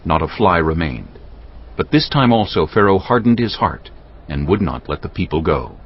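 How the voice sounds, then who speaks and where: A man's voice: deep voice, sing-song, moderate pace, slight background noise. A man narrating scripture from a script as the storyteller of a dramatized audio production. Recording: dull band-limited audio under music. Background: music.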